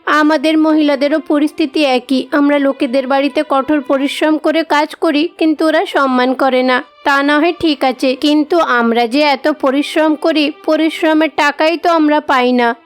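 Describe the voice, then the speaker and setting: A woman's voice: high voice, steady tone, normal speed, lightly acted. A woman voicing a hardworking village woman who earnestly complains of her hardships in a cartoon story. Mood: aggrieved. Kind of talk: cartoon story dialogue acting. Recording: music behind the voice.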